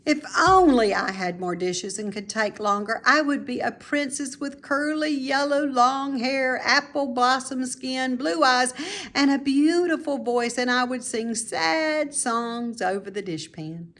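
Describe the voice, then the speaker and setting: A woman voicing a cheerful, playful grown woman from a storybook, with animated, emphatic delivery that savours the words. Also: high voice, a little slow, voice pushed up. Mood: wistful, playful. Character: cheerful, playful grown woman who delights in housework make-believe.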